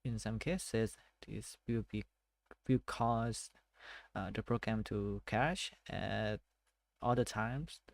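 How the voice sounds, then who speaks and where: A man's voice: fairly low pitch, vocal fry, dry recording. A man with a Thai accent, talking casually through a study session and reading text aloud as he thinks. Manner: thinks aloud, reads text slowly, hesitant. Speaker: tech study vlogger. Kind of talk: casual self-study screen walkthrough.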